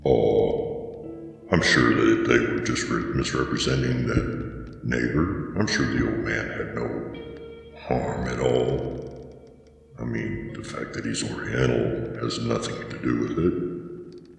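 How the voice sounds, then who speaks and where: A man playing a creepy, sardonic old grandpa who hosts horror bedtime stories, with a gravelly voice and theatrical delivery. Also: steady tone, heavily acted. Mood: sly mock reassurance. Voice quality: gravelly, very deep. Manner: slow, theatrical, mock-reassuring. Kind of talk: horror anthology host narration. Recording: cavernous long reverb, music underneath. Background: music.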